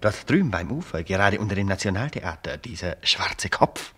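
An actor playing a sober grown son in a radio play, speaking in a measured, reassuring way to his father. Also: low-pitched, animated, sharp tone, slightly rough, full character voice. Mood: uneasy, musing.

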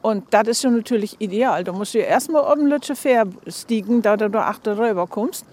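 A woman's voice: on the high side, animated, brisk, a little mellow. A woman with a Northern German accent, recollecting conversationally as an interviewee.

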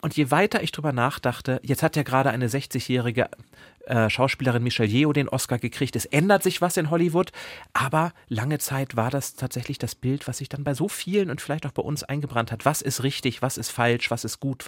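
A man's voice: mid-range voice, brisk, no room sound, clean studio sound. A man talking through books as a podcast host, articulate, engaged and reflective. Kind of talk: conversational book discussion.

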